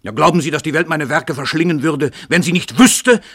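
An actor playing a suave, cynical, grandiose celebrity writer who is also a murderer, condescending and theatrical, in a radio play. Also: male voice, fairly high pitch, brisk, a bit bright, no echo, studio quality. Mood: cynical, emphatic.

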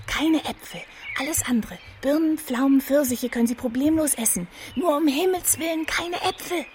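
An actress playing a brisk, bossy radio helper in a children's radio play. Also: female voice, high voice, darkish tone, a little hiss or hum, natural voice. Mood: urgent.

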